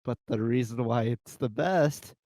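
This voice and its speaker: A man's voice: mid-range voice, a little slow, warm tone, no room sound. Casual, slangy man in dry sound. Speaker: podcast co-host. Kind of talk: casual group discussion.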